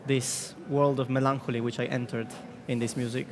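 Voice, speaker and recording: A young Italian-born pianist in his twenties, speaking in a thoughtful, measured way on a panel. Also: medium pitch, flat delivery, over music. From Italy. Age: 20s. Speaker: competition pianist guest. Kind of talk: panel talk.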